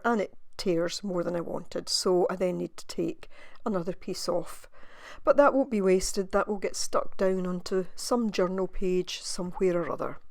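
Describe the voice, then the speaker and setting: A woman with a slightly croaky voice, musing softly as she narrates her crafting in a voice-over. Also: on the low side, no room sound, studio quality. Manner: soft, musing, thinking aloud with pauses. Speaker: craft video maker.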